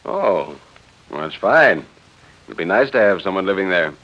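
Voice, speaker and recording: Radio play role: mild husband, male actor, lowish pitch, plainspoken and searching, muffled 1940s recording. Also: big pitch swings, moderate pace. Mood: pleasant. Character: mild, decent suburban husband, uneasy and questioning. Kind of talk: 1940s radio drama acting.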